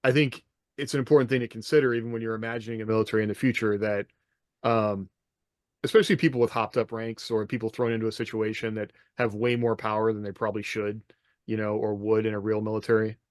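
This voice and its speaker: A man with a slightly low voice, co-hosting a podcast in a casual conversational style. The sound is dry and close, free of room echo. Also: flat delivery, quick pace. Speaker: podcast co-host. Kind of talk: casual panel discussion.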